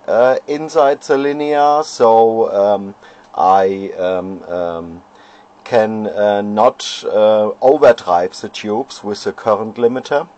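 A man, an amateur radio operator showing his homebrew gear at home, pausing often and searching for words. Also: fairly low pitch, darkish tone. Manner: halting, many fillers, searching for words. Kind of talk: casual equipment demo. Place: home radio shack, indoors. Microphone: handheld camera.

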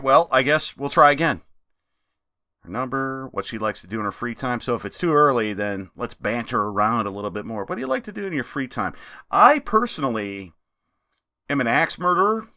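Lively joking man doing game commentary. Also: medium pitch, no echo, studio quality. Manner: joking, self-mocking, loud swings in energy. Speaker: gaming YouTuber. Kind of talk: casual let's-play commentary.

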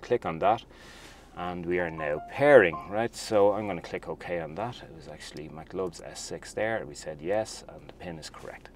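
A man's voice: low-pitched, darkish tone, a little hiss or hum. A man demonstrating a car's infotainment system from inside a parked car, chatty and enthusiastic as he talks to viewers. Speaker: car reviewer. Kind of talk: casual video walkthrough.

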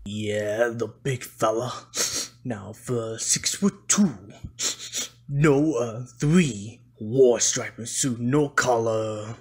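Elderly cartoon bloodhound played by a man: drowsy and courtly, Southern drawl, husky, halting, slow. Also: mid-range voice, a little mellow, exaggerated voice. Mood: muddled. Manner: rambling, halting, folksy. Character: drowsy, forgetful, courtly old male bloodhound. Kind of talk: cartoon fan dub acting.

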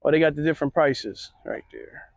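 A man's voice: on the high side, a little fast, vocal fry, no echo. Chatty man strolling an outdoor market. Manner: relaxed, chatty, pointing things out. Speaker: vlogger. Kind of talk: casual walking commentary. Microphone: handheld phone camera.

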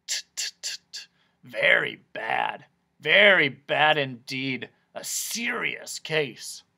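A narrator voicing an eccentric male doctor of noise in a storybook, with a hoarse, raspy croak and a loud, gleeful, badgering manner. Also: medium pitch, sing-song, extremely slow, full character voice. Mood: grave, tutting. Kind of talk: children's audiobook character voice.

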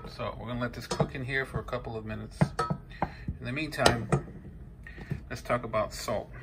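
A man's voice: medium pitch, fairly even intonation, moderate pace. A man in his fifties from Peru, hosting a home cooking video, explaining each step in a conversational way with frequent fillers and self-corrections. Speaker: home cooking channel host. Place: home kitchen. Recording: noisy home kitchen recording.

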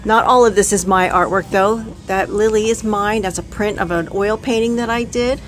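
A woman's voice: medium pitch, steady tone, normal speed. A woman in her fifties shows her antique booth items, chatting casually with frequent ums and self-corrections. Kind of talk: casual show-and-tell vlog. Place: living room, then car and antique mall.

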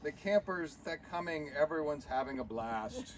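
A man's voice: on the high side, animated, normal speed, close to an everyday voice. A man voicing a grumbling puppet who recites comic rhymes theatrically in a noisy live puppet show. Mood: gleefully reciting. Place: live talent show, recorded from the audience.

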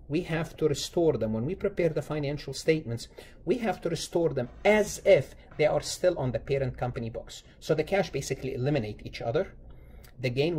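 A man teaches in a lecture style with a mid-pitched, husky voice. His tone is mellow rather than sharp. He walks through examples emphatically, repeating key phrases and posing questions that he answers himself. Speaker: accounting lecturer. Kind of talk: instructional lecture, explaining a worked example.

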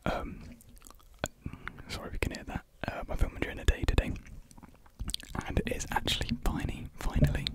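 A young British man whispering a casual, hesitant ASMR ramble in a breathy voice, very close to a desktop microphone. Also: a little fast. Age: young adult. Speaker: ASMR video creator. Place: small indoor room at home. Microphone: large USB desktop mic, very close.

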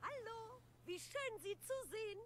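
A woman's voice: very high-pitched, lively intonation, a little slow, slight background noise. An actress playing a spirited young witch in a fantasy video game. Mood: friendly.

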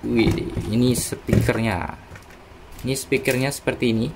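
A man's voice: medium pitch, level tone, unhurried, creaky, very noisy. A man giving casual, unscripted unboxing commentary in an informal, hesitant, thinking-aloud way. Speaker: unboxing video presenter. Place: indoors, small room at a desk.

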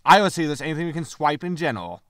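A man's voice: a little high, very animated, racing, a bit bright, no room sound, studio quality. A man playing a bossy, easily exasperated starship captain and pilot in a sci-fi sitcom audio drama. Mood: commanding. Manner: exasperated, brusque. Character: irritable, bossy male spaceship captain and pilot.

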